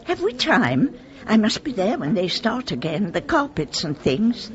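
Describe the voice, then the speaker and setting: A genteel English lady in her eighties, her voice swinging very widely in pitch. She is gracious and refined, with upper-class vowels, heard on a slightly dull radio play recording. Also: big pitch swings, a little slow. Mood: gracious, wistful. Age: 80s. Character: genteel, kindly elderly widowed lady employer. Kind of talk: British radio drama acting. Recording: muffled vintage radio recording.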